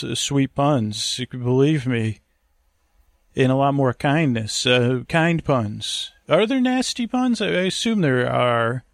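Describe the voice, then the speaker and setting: A man hosting a sleep podcast in a creaky, gravelly voice, wandering through a halting, self-deprecating bedtime monologue. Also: medium pitch, no echo, clean studio sound. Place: wood-panelled lakeside cabin bedroom.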